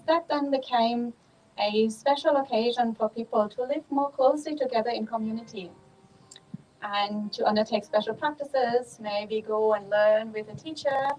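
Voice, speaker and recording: A woman from Germany with a German accent, a Buddhist nun giving a calm, measured dharma talk at a monastery, pausing often with ums. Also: fairly high pitch, slight background noise, rough recording.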